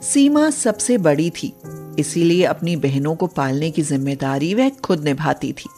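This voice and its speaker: A woman's voice: deep voice, expressive, unhurried, dark tone, soft background music. A woman narrating a scripted moral bedtime story as a storyteller.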